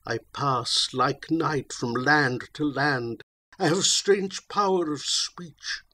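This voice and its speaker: A haunted old mariner of a storybook poem, performed by a man. He declaims solemnly in archaic verse, taking his time. The voice is rough and husky, a little high in pitch. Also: takes it slowly, pushed and bright, full character voice. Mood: tormented.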